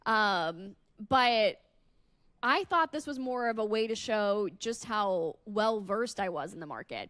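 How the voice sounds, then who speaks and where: A woman in casual podcast chat, recorded dry with very little room sound. Her pitch sits in the middle for a woman, and she talks like a radio personality among coworkers, dropping in plenty of asides. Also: fairly bright tone, no room sound.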